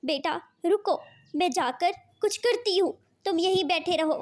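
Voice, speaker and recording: Very high-voiced fretful mother bird played by a woman. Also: measured pace. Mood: worried, scolding. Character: caring, scolding female bird, mother and neighbour.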